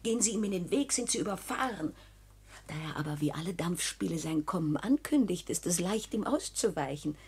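A woman's voice: fairly low pitch, animated, fairly quick, a little mellow. An actress playing a worldly, conspiratorial neighbour woman in a 1960s German radio play, insinuating, florid and emphatic as she eggs someone on. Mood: scheming.

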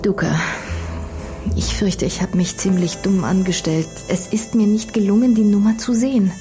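An actress playing a composed young undercover decoy in a radio crime drama, reporting soberly and precisely. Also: female voice, medium pitch, fairly even intonation, moderate pace, mellow, faint music behind, close to an everyday voice. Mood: apologetic.

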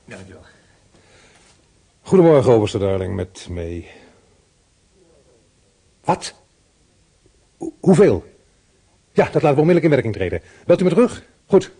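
A brisk police chief inspector. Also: male voice, medium pitch, very animated, dark tone, slightly rough. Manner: brisk, commanding. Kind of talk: radio drama acting.

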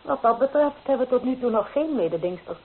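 A woman's voice: fairly high pitch, lively intonation, fast, a little hiss or hum, lightly acted. An actress playing a proud, genteel middle-aged provincial society lady in a Dutch radio drama. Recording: tinny old radio play recording.

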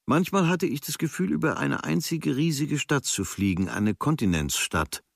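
A man reading a travel diary as scripted literary radio narration, in measured, observational short phrases. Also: on the high side, lively intonation, gravelly, dry recording, studio quality. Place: studio.

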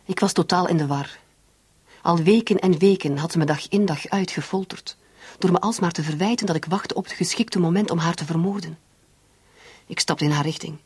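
A woman's voice: lowish voice, brisk, a little mellow, breathy edge, no room sound. An actress playing an anguished, lovelorn woman in a radio drama, speaking with confessional intensity. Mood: haunted. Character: anguished woman secretly in love with her brother-in-law.

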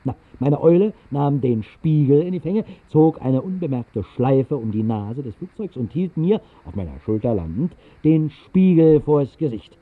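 A man's voice: fairly high pitch, expressive, measured pace, hardly any pauses, no room sound, phone-quality sound. An actor playing a whimsical man who tells a fantastical tale in a 1960s literary radio play, in a wry, conversational way with musing asides. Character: whimsical man recounting a fantastical night flight over the city. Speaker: first-person narrator of a radio play.

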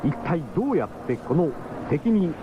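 A man's voice: fairly high pitch, big pitch swings, normal speed, background noise. A man narrating a 1970s radio drama in a dramatic scripted style. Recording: muffled 1970s radio broadcast, music behind.

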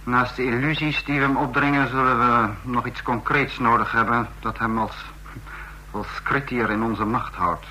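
An actor playing a stern dictator in a radio play, speaking in formal, commanding, measured oratory. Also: male voice, mid-range voice, steady tone, pushed and bright, slight background noise, rough recording. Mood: cold, deliberate. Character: stern male dictator of a fascist state.

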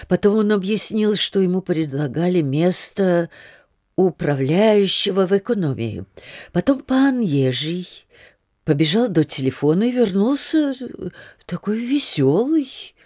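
An actress playing a talkative, deferential landlady in an audio drama, with a halting, rambling way of telling things. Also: mid-range voice, warm tone, dry recording, clean studio sound, exaggerated voice. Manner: halting, rambling, repetitive storytelling. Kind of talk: Russian literary audio drama acting.

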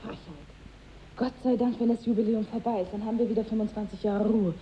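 An actress playing a harried, officious secretary of a company boss, brisk and impatient, in a 1950s radio play. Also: female voice, a little high, little pitch movement, lightly acted. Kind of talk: 1950s radio drama acting. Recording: muffled, noisy old radio recording.

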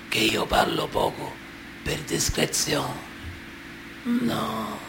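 An old Sicilian godfather, creaking and half-whispered, crawling through each phrase. He is guarded and falsely humble, in a radio play with clear hiss behind him. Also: fairly high pitch, heavily acted. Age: older. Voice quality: gravelly, breathy, half-whispered. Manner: halting, measured, guarded. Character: old, soft-spoken Sicilian mafia boss, falsely humble. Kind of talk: theatrical radio drama monologue. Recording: noisy room hiss.

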